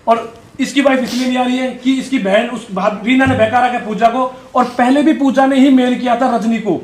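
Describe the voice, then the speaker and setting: A man's voice: very high-pitched, reverberant. A man giving an unscripted, defensive and accusatory rebuttal, often repeating himself. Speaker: vlogger.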